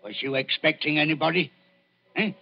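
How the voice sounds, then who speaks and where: Big threatening ruffian, gravelly and hoarse-edged, rough mockery, period radio thriller. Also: male voice, a little high, brisk, soft background music. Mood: wary, menacing. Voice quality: gravelly, husky. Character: big, surly male body snatcher, rough and threatening. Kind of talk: 1940s radio acting. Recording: dull vintage radio recording.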